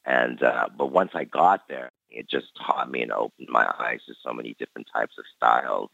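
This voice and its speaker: A man's voice: rumbling low, fairly quick, creaky, pushed and bright, dry recording. A man in his forties who grew up in Canada, reflecting easily on his dance career as an interview guest. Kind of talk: casual interview talk. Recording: dry, dull lo-fi recording.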